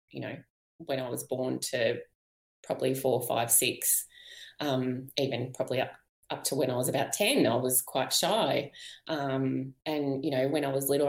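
A woman's voice: sits low, gravelly, a little mellow, echoey room. An Australian woman in her fifties, a guest in a podcast interview, telling her own story candidly with many ums and light laughs while losing her voice. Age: 50s. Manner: chatty, many ums and you knows, frequent light laughs.

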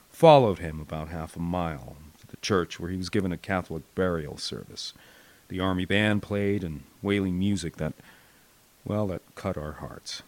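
An American man with a fairly low voice narrates a wartime memoir. He reads from a script like a storyteller, expressive and dramatic. The recording is dry, with very little room sound. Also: no room sound. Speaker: audiobook narrator. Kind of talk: scripted first-person war memoir narration.

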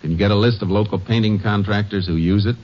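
An actor playing a stern, shrewd Texas Ranger who also narrates, clipped and dry, with an American accent in an old-time radio detective drama. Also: male voice, lowish voice, fast, natural voice. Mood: businesslike, probing. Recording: muffled old radio recording with music.

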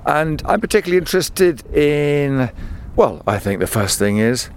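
A man's voice: a little high, very animated, moderate pace, slight background noise. A man presenting and interviewing outdoors on a hillside, curious and conversational, often repeating words as he asks. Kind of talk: walking field interview.

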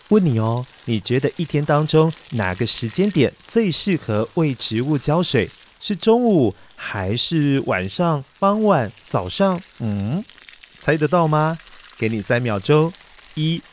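A man hosting and narrating a children's story, addressing young listeners in a coaxing, explanatory way. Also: mid-range voice. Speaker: children's story podcast host.

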